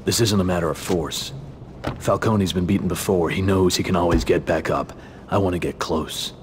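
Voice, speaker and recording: A man playing a brooding masked vigilante in his thirties, terse and intimidating, with a hushed gravelly voice in a video game. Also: deep voice, moderate pace, a little hiss or hum, close to an everyday voice. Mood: menacing. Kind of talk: video game cutscene acting.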